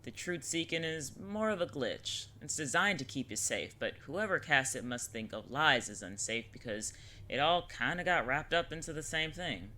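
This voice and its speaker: A woman's voice: low-pitched, animated, normal speed, bright, forceful tone, slight background noise. A woman playing a wry, teasing older witch mentor in an audio drama, talking in a casual, slangy way. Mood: serious, explaining. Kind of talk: scripted audio drama acting.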